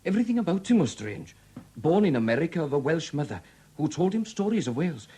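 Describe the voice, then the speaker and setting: A talkative festival secretary in a 1970s radio play. The voice is mellow and husky with animated pitch, and he speaks in a reflective way. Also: fast talker. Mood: musing, admiring. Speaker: actor in a radio drama. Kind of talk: 1970s radio drama acting.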